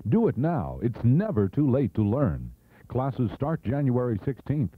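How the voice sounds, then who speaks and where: A raspy American man reads a local radio ad with lively intonation. The sound is a narrow, lo-fi AM broadcast. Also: mid-range voice, a little slow, dry recording. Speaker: commercial announcer. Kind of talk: scripted local radio advertisement. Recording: dry narrowband AM radio recording.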